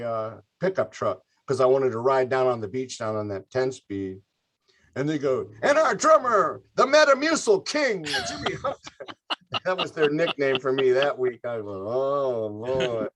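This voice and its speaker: A laid-back older man chats about his drumming days in a loose, enthusiastic ramble. His voice sits at an ordinary middle pitch, relaxed and unhurried. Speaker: guest musician, drummer. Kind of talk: casual interview talk.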